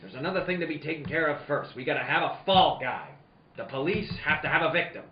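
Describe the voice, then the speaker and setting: Tough, wisecracking noir detective, played by a man, slightly high voice, terse delivery, dull roomy recording. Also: quick pace, natural voice. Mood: cool, bargaining. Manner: terse, wry, tough-talking. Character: hard-boiled, cool, wisecracking male private detective. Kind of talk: old-time radio noir acting. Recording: roomy, dull audio with faint noise.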